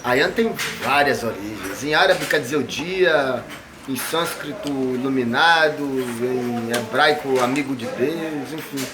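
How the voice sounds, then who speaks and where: A man's voice: medium pitch, measured pace, a crowd in the background. A man from Bahia, an artist talking casually and at length in an interview, wandering through his thoughts with many tag questions. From Bahia, Brazil. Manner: discursive, meandering, frequent tag questions.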